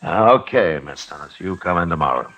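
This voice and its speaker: An actor playing a steady, laconic middle-aged American marshal in a radio western, measured, patient and firm. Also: male voice, low-pitched, muffled recording. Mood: grave, firm. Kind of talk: 1950s radio western acting with narration.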